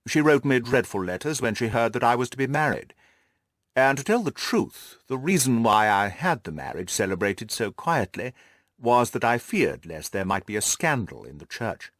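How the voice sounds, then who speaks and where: A man's voice: mid-range voice, big pitch swings, normal speed, fairly bright tone, no echo, clean studio sound. Man playing a pompous, haughty aristocratic lord. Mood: self-justifying. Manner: pompous, formal, long-winded. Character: haughty aristocratic lord, stuffy, self-satisfied client. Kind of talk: audiobook character dialogue.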